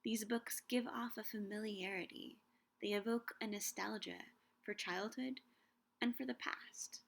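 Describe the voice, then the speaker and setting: A young woman giving a scripted, measured talk on Shakespeare and books, slowing down to savor quoted lines. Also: mid-range voice, steady tone, gravelly. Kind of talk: scripted educational narration.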